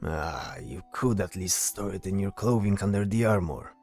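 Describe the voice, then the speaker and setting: A man's voice: deep voice, animated, normal speed, creaky, a little mellow, soft background music, full character voice. A man playing a cautious, weary soldier with dry patience in a fantasy audio drama. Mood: weary, exasperated.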